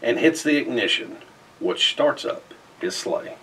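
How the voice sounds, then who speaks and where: A middle-aged man reads a rhyming children's picture book aloud in an expressive, theatrical way with emphatic phrasing. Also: medium pitch, unhurried, slightly rough, far from the mic, low-quality recording. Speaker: storybook reader. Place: indoors in front of a festive backdrop.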